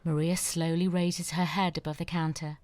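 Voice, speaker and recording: Woman narrator, low voice, stage directions, even measured reading. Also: no room sound.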